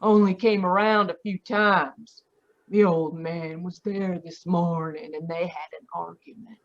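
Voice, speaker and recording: Sour city landlady, acted by a woman: suspicious, clipped replies, unhurried, muffled call audio, radio-drama style. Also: lowish voice, steady tone. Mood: curt, irritated. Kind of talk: old-time radio detective acting. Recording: dull online video-call audio.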